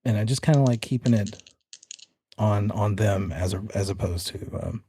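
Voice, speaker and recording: A man's voice: lowish voice, normal speed, dark tone, husky, no echo, clean studio sound. A man narrating a tabletop horror game as game master, conversational and addressing the player directly. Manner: conversational, describes scenes in the second person. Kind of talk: tabletop horror game narration, part suspenseful storytelling, part casual rules talk.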